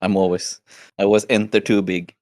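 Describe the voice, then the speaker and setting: A hoarse man from Sweden chatting casually as a guest and grumbling about the holidays. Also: on the low side, flat delivery, a little fast, darkish tone, no room sound, clean studio sound. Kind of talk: casual chat.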